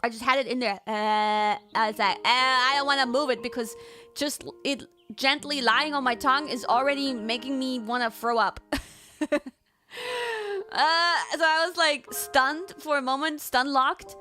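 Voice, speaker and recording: A woman streamer telling stories in casual chat, animated, jumping to squealed quotes. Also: high voice, normal speed, soft background music. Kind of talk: casual chat, recapping a trip.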